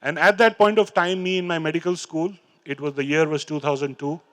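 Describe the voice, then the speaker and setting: A middle-aged man with an Indian English accent, speaking on stage into a handheld mic, telling his recovery story in an earnest, halting way. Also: fairly high pitch, steady tone. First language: Hindi or Urdu. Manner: earnest, halting, with fillers and restarts. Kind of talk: personal talk on stage, reciting poems. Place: large stage in a hall. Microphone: handheld microphone.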